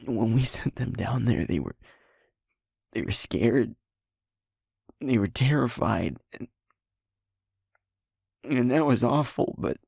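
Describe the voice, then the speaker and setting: A man playing a guilt-ridden young werewolf boyfriend in an intimate audio roleplay, speaking haltingly and rawly in a husky, creaky, breathy voice. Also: mid-range voice, animated, a little mellow, no room sound, clean studio sound, full character voice. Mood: grieving, hopeless. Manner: halting, emotionally raw, broken-up phrases.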